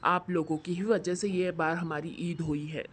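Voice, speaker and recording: A male shop landlord in a moral storybook tale, acted out by a voice performer. He speaks at a middling pitch in scripted dialogue, over faint background noise. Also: little pitch movement, normal speed, a bit bright, natural voice. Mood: warm, generous. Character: callous male shop landlord, later humbled and grateful.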